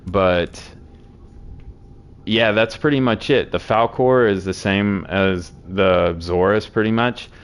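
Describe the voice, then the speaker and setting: A hoarse man with a sore throat casually walking through game builds, rambling with many fillers. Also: fairly low pitch, slow pace. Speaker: game streamer explaining builds.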